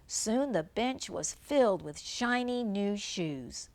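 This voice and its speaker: A woman's voice: mid-range voice, animated, slow, darkish tone. An older woman reads a children's storybook aloud like a grandmother, adding simple, chatty explanations for a young listener.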